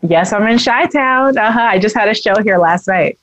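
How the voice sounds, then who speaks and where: A woman singer in her thirties, from the American East Coast, chatting brightly and laughing as an interview guest. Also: medium pitch, moderate pace, slight background noise. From United States East Coast. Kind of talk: casual interview talk.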